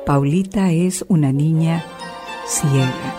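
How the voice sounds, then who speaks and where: A woman with a Chilean accent narrating a scripted radio story in an expressive, deliberate way. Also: low voice. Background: music.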